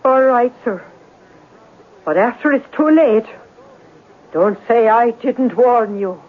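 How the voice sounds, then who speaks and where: A woman's voice: on the high side, lively intonation, slow, heavy background noise. An actress playing a worried, stubborn boarding-house landlady in a 1970s radio drama, speaking in a hesitant, earnest way. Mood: firm warning. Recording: muffled, lo-fi old radio recording.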